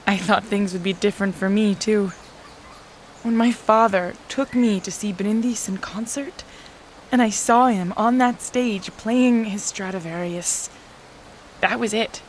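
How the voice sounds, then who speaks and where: A woman's voice: mid-range voice, measured pace, a little hiss or hum, lightly acted, rough recording. A woman playing a disappointed, passionate seventeen-year-old girl musician in an audio drama, speaking wistfully. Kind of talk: scripted audio drama dialogue.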